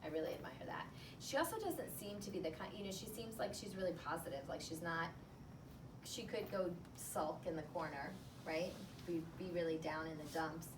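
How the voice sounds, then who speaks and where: A woman's voice: on the low side, animated, normal speed. Woman teacher reading aloud, roomy distant recording. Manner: conversational, thinking out loud with filler words. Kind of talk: picture book read-aloud with think-aloud commentary. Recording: roomy, distant mic, clear background noise.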